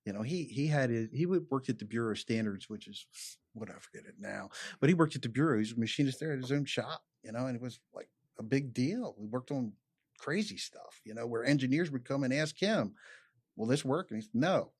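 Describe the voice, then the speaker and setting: A man, a chiropractor chatting casually on a podcast, animated and peppering his talk with "you know". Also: mid-range voice, a little fast, husky. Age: 50s. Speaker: chiropractor guest.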